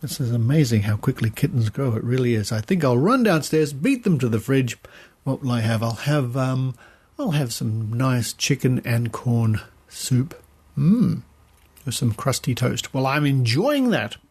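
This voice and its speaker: Male podcast host, husky mid-range voice, chatty and informal. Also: mid-range voice, lively intonation, a little slow, a little mellow. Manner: informal, conversational.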